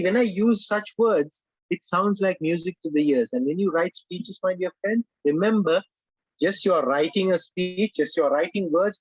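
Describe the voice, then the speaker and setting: A man from Sri Lanka who speaks English as a second language, leading a speech-writing workshop with animated emphasis and frequent direct address to his audience. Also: a little high, no echo, low-quality recording. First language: Sinhala or Tamil. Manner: animated, emphatic, repeats "my dear friends". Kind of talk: online workshop lecture.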